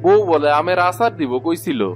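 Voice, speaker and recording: A man's voice: a little high, lively intonation, normal speed, natural voice. A man playing a village farmer in a cartoon, with a rural Bangladeshi Bengali accent. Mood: neighbourly. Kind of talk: cartoon voice acting. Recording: music behind the voice.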